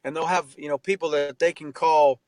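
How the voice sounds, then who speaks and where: A man's voice: on the high side, steady tone, a little fast, a little mellow, no echo. Earnest, heartfelt man around forty. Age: 40s. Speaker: interview guest, artist and tattoo artist. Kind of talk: candid conversational interview.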